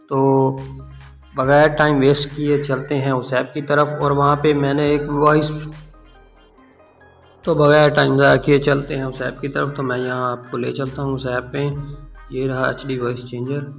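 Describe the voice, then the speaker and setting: A man's voice: a little high, a bit bright. A man hosting a casual tech app tutorial.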